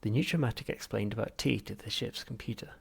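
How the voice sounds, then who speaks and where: A man's voice: lowish voice, fast, creaky, husky. A man reading a comic novel aloud as scripted audiobook narration. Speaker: audiobook reader.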